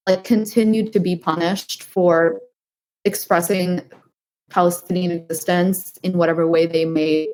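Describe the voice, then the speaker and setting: A woman's voice: mid-range voice, a little mellow, slight background noise, poor audio. A woman in her twenties from New Hampshire, speaking earnestly as a podcast guest, with many fillers like "like" and "um". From New Hampshire, United States. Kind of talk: interview answers.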